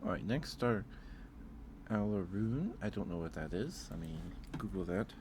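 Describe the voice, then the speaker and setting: A man's voice: low-pitched, expressive, normal speed, background noise. A man chatting casually on an ASMR livestream, rambling hesitantly and repeating his phrases, close to the microphone.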